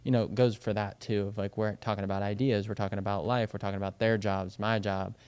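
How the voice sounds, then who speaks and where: Young male coach, casual podcast talker, low-ish smooth voice, rambling and filler-heavy. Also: little pitch movement, quick pace, darkish tone. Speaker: baseball and softball coach, podcast co-host.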